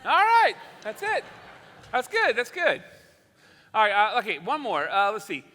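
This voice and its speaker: A man's voice: high voice, wildly swooping pitch, a little slow, pushed and bright, slight background noise, exaggerated voice. A man playing an easygoing, folksy boss of a live radio show in a stage comedy, chatting with hesitant starts. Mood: upbeat, bustling. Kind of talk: theatrical stage comedy acting. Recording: reverberant hall, live stage sound.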